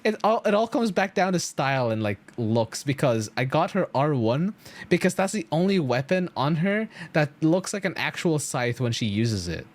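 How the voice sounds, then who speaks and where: Animated man chatting on a game stream. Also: a little high, normal speed. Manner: enthusiastic, slangy, rambling. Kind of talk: casual chat with stream viewers.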